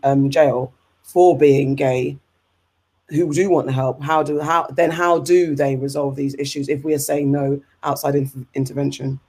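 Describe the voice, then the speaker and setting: Animated English woman hosting a chat. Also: low voice. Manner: animated, rambling, frequent fillers and restarts. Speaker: podcast host. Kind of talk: casual discussion.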